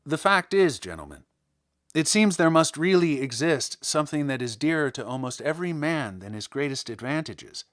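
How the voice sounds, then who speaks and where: A man narrating a literary audiobook, a first-person monologue, with rhetorical, emphatic and deliberate phrasing. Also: on the high side, sing-song. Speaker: audiobook reader.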